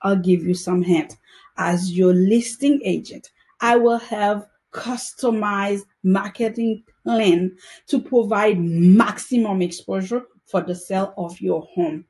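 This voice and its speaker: A woman delivers a scripted sales pitch at an unhurried, deliberate pace. Her voice sits at a middle pitch, and she sounds earnest and careful over every word. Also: fairly even intonation, darkish tone. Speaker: real estate agent. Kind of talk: scripted self-promotional pitch.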